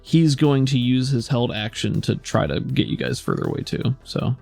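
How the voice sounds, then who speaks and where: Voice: mid-range voice, very animated, moderate pace, vocal fry, a little mellow, soft background music. A game master casually narrating a tabletop roleplaying game, improvising in a conversational way.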